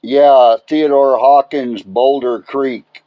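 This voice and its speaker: A man's voice: mid-range voice, fairly even intonation, slow pace, lo-fi sound. An American man chatting casually as a radio operator in a rambling, folksy way. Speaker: amateur radio operator, channel owner recording contacts.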